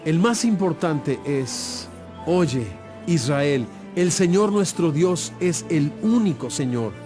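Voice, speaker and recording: A man's voice: fairly high pitch, expressive, slow. A man acting an authoritative, composed holy teacher in a dramatized audio Bible, speaking solemnly and emphatically. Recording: music playing behind.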